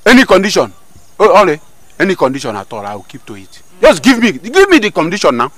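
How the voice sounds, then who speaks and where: A man playing an eager young village hunter in a Nigerian drama, lovestruck and pleading. He stammers through his requests, his fairly high voice rising and falling widely. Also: huge pitch swings, quick pace, heavily acted. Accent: Nigerian Pidgin-inflected.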